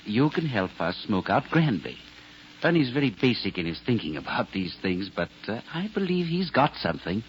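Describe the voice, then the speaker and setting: A man's voice: fairly low pitch, very animated, normal speed. An actor playing a gangster's articulate right-hand man in a 1940s radio drama, speaking in wordy, formal phrases with dry irony. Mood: suave, dry. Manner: wordy, formal, drily ironic. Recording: muffled, faintly noisy old radio recording.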